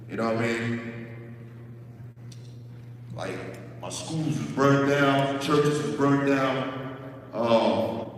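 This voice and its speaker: A man from Liberia telling his life story to a live audience in an informal, slangy way, as a motivational speaker. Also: medium pitch. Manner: informal, slangy, frequent checking phrases. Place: stage in a large room. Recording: distant echoey hall, long reverb, faint noise.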